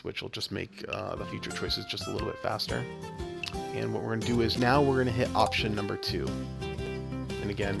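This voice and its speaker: A man's voice: on the low side, creaky. An American man giving a casual, informal, instructional video game walkthrough as the guide. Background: game music with guitar.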